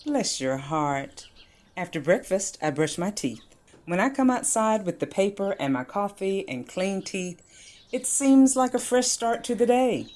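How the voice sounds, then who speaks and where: A woman's voice: medium pitch, big pitch swings, unhurried, a little mellow. A woman playing a fussy, stubborn, old-fashioned older woman in a radio play, prim and emphatic. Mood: fond, chatty.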